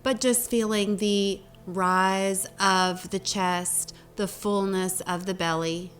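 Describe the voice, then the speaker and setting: A woman leading a guided meditation as a teacher, speaking gently in short phrases with long pauses between them. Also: mid-range voice, fairly even intonation. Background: meditation music.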